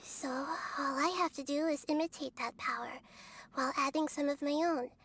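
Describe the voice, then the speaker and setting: A woman voicing a calm, wise young girl goddess in a video game, speaking softly and earnestly. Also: sits high. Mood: resolute. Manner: soft, measured, earnest.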